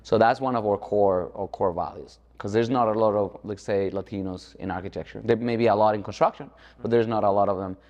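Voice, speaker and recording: Male architect guest from the Dominican Republic, lowish voice, informal interview talk, long reverb. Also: fairly even intonation, brisk, a little mellow, lo-fi sound. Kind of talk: conversational interview. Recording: echoey hall-like reverb.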